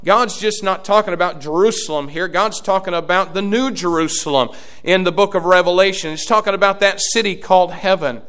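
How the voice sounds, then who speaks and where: A man's voice: high voice, brisk, fairly bright tone, clear, resonant tone. A man, preaching a sermon as pastor, his delivery swelling and dropping in volume. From Indiana, USA.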